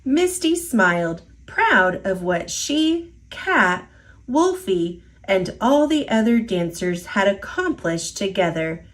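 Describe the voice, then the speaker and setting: Woman storyteller for kids, slow and deliberate, lively rising and falling voice. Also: medium pitch. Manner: slow, expressive, sing-song storytelling. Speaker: storytime reader. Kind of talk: children's picture book read-aloud.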